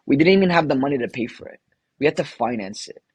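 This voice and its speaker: A man from the Philippines, a guest entrepreneur telling long stories in an energetic, casual interview way. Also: on the high side, fast talker, breathy edge, dry recording.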